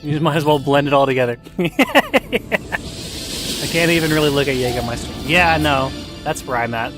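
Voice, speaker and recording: A man's voice: on the high side, steady tone, normal speed, over music. A young man chatting casually while streaming a game, rambling with lots of fillers and joking about food and drinking. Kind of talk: casual gaming stream chat.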